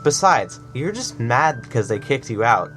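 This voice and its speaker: A man's voice: medium pitch, very animated, unhurried. A man voicing a shy, earnest nine-year-old girl who mumbles. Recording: soft music behind.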